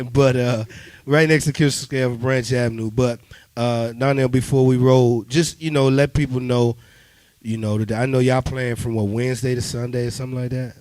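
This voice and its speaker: Informal male radio host, medium pitch. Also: fairly even intonation, normal speed, darkish tone, no room sound. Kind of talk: casual radio interview.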